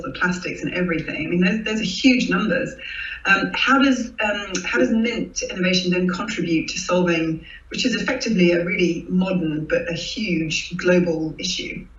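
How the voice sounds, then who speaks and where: A man with a high, sharp-toned voice asks a guest questions, pausing on fillers as he goes. He sounds far from the mic, with an echoey room and thin, dull audio. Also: fairly even intonation. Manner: hesitant, filler-heavy questions. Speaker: interviewer. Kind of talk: interview question.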